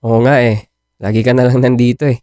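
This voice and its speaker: A man with a Filipino accent playing a young, aloof boyfriend in a radio drama, low-key and hesitant. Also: little pitch movement, fairly quick, dark tone, no room sound, studio quality, close to an everyday voice. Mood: casual, aloof. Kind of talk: Tagalog radio drama acting.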